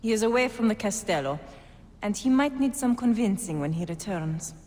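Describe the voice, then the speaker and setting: A woman's voice: medium pitch, expressive, normal speed, a little mellow, long reverb tail, natural voice. An actress playing a cruel, jealous Renaissance noblewoman with a haughty, taunting manner, in a video game. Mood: disdainful. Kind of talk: video game cutscene acting.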